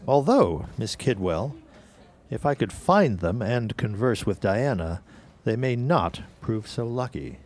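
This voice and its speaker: A man's voice: mid-range voice, sing-song, takes it slowly, a little mellow. Man playing a persistent, swooping-voiced 1910s newspaperman. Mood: probing, needling. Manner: formal, courteous, probing. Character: Tom Dawson, polite, persistent 1910s newspaper city editor. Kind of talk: period audio drama acting.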